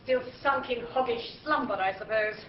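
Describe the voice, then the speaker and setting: A bossy, hearty middle-aged aunt, played by a woman, barks orders in a high, brusque voice. She is loud and blunt. The recording sounds dull. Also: moderate pace, natural voice. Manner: brusque, booming.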